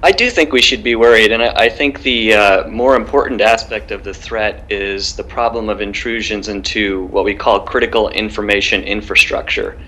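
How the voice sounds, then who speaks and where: A man's voice: fairly low pitch, moderate pace, hardly any pauses, sharp tone, low-quality recording. A man in his forties with an American accent, explaining things as an expert guest on a video call. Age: 40s. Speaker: law professor, remote guest. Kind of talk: explanatory interview answer. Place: home study with bookshelves.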